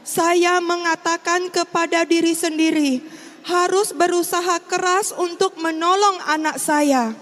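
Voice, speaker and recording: A middle-aged woman from Riau with an Indonesian accent, giving a formal, measured prepared testimony. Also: extremely high pitch, steady tone, a bit bright. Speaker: testimony speaker. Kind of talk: prepared personal testimony speech. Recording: long hall reverb, faint background noise.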